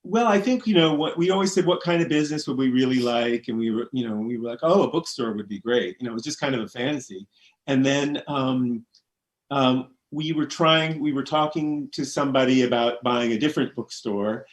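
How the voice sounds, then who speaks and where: A man who grew up in Oregon, a bookstore co-owner, answering interview questions thoughtfully with many pauses. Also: medium pitch, low-quality recording. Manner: thoughtful, hesitant, many ums. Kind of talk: casual interview chat.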